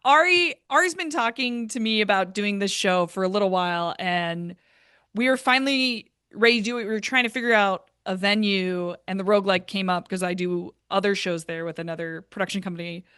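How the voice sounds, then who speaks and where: A woman's voice: mid-range voice, fairly quick, fairly bright tone, clear, resonant tone, dry recording, studio quality. A woman chatting casually as an interview guest who produces live shows. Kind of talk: casual chat.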